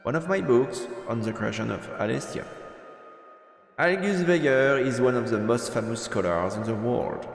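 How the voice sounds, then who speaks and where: A man streaming a let's-play, reading game text aloud in a halting way and commenting as he goes. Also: medium pitch, lively intonation, gravelly. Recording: roomy long reverb, game music behind. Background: video game music.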